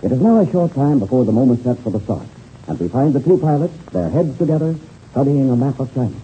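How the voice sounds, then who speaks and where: A man's voice: medium pitch, expressive, fast, dark tone, a little hiss or hum. A man narrating a 1930s radio serial in a dramatic, formal announcer's manner, on a muffled old recording.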